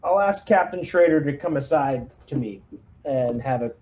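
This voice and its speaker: A man's voice: a little high, lively intonation, moderate pace, a little mellow, a little hiss or hum. A man chatting casually as a player in a tabletop roleplaying game.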